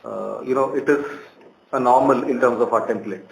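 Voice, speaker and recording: A man with an Indian accent speaking as a company executive, giving measured, halting explanatory answers on a conference call. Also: medium pitch, creaky. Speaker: bank executive answering analyst questions. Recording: roomy conference call, faint noise.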